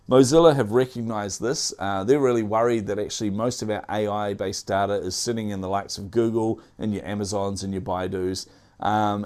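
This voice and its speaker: A man with a New Zealand accent runs through the week's tech news in a relaxed, chatty way. His voice sits a little low, and he fills gaps with ums and uhs and throws in small dad jokes. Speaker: tech news show host. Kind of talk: casual tech news commentary.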